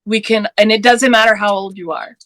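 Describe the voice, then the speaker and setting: A woman's voice: mid-range voice, fairly even intonation, a little fast, a bit bright, no room sound, studio quality. A woman in her late thirties from Georgia, talking earnestly and passionately in a casual panel discussion, laughing now and then. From Georgia, US Deep South. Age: 30s.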